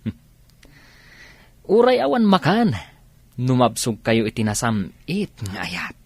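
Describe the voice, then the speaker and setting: A teasing, easygoing Ilocano buddy from a radio comedy drama, acted out by a man. He swings his pitch freely and stretches his interjections at a leisurely pace. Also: huge pitch swings, slow, a little mellow, breathy edge, no room sound, exaggerated voice.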